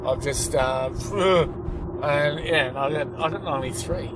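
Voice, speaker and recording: Higher-voiced Australian bloke over loud road noise. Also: male voice, steady tone, a little slow. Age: middle-aged. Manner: sweary, slangy. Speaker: stand-up comedian. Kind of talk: casual chat, telling anecdotes. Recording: noisy in-car recording, traffic rumble.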